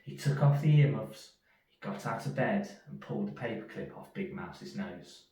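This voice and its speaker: Expressive male storyteller in an echoey room. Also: lowish voice, normal speed. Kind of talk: expressive children's story reading. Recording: echoey room, distant from mic.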